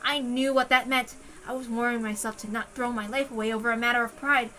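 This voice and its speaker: A woman narrates a story in the first person with a dramatic delivery. Her voice sits fairly high and has a very bright, crisp edge. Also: steady tone, a little fast. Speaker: visual novel reader.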